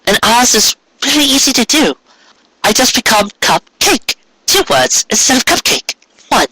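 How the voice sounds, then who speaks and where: Man putting on a high, sweetly hesitant pony wife. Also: very animated, moderate pace, pushed and bright, breathy edge, no room sound, full character voice, low-quality recording. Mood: nervous, hopeful. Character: tender, bashful married female cartoon pony. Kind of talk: fan fiction storybook reading.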